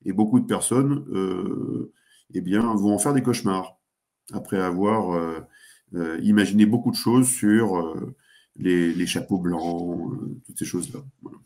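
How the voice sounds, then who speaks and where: A man speaking as a guest commentator, giving geopolitical analysis in a talk show, pausing often with fillers and restarts. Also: deep voice, mellow. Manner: hesitant, many fillers and restarts.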